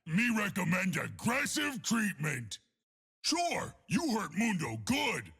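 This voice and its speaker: An actor playing a huge, deranged, dim but cheerful brute who thinks he is a doctor, in a video game, speaking gravelly broken childlike sentences. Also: huge pitch swings, slow pace, a bit bright, full character voice. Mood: shouting, manic. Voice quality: gravelly, raspy. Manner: childlike broken grammar, booming exclamations. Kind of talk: exaggerated video game voice lines.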